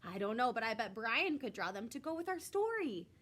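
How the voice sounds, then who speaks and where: A woman plays a friendly young schoolboy from a children's storybook. The voice is high and bright, with very lively swings in pitch. He sounds upbeat and keen to bring everyone in. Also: a little fast. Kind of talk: children's picture book read-aloud.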